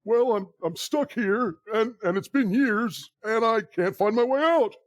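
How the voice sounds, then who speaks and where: A man's voice: very high voice, lively intonation, a little slow, dry recording. A man playing a lost hermit stranded for years, hesitant and stammering, in a comedic audio drama. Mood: sheepish.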